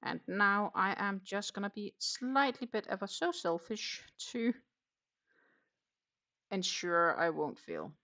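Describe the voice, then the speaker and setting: A woman hosting a casual craft livestream, chatting haltingly and muttering to herself in a self-deprecating way. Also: mid-range voice, sing-song, sharp tone. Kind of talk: casual livestream chat while knitting.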